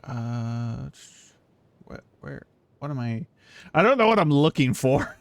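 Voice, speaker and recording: A man's voice: mid-range voice, very animated, takes it slowly, vocal fry. A man streaming a game with casual commentary, rambling and thinking aloud in an informal way. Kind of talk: casual livestream gameplay commentary. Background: faint game music.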